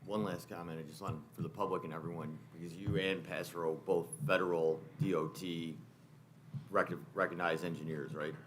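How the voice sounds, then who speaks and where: A man's voice: deep voice, fairly even intonation, moderate pace, a bit bright. A man, a board member, asking clarifying questions from a distance in the room. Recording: distant, faint room noise.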